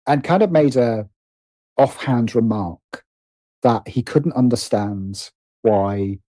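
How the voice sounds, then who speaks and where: A man speaking as an interview guest in a casual, conversational way, animated but halting, often restarting and repeating phrases as he thinks aloud. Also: mid-range voice, dry recording. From Britain. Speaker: interview guest, writer and producer.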